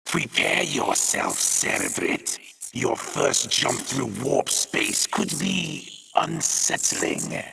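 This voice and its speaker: A man voicing a sinister alien hive creature, a formal and ominous advisor in a video game, with a harsh, raspy, gravelly voice. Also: medium pitch, animated, slow pace, bright, forceful tone, heavily acted, low-quality recording. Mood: cautioning. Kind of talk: video game character briefing.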